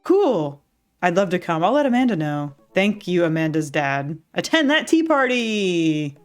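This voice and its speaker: Casting: goofy cheerful dad, corny and upbeat, read by a woman streamer, slightly low, faint music. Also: very animated, measured pace. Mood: friendly. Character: upbeat cheesy suburban dad, male.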